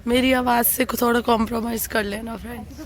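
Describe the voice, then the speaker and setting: A hoarse young woman chatting casually with friends while vlogging on a phone outdoors. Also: a little high, little pitch movement, fairly quick. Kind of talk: casual vlog chat with friends.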